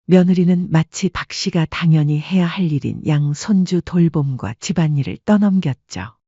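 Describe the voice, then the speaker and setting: A woman narrating a scripted story with a soft, husky voice. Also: on the low side, moderate pace, no room sound, dull audio.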